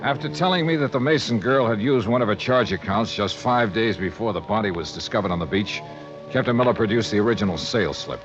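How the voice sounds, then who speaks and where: Man acting as insurance investigator, low voice, clipped questions, old radio detective drama, muffled recording. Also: animated, fast, music in the background, natural voice. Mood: dry narrating. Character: insurance investigator, adult man, brisk and probing.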